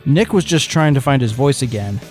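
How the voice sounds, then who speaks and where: A man's voice: mid-range voice, lively intonation, a little fast. A man reading fan fiction as narrator, in scripted storytelling style. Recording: music under the voice.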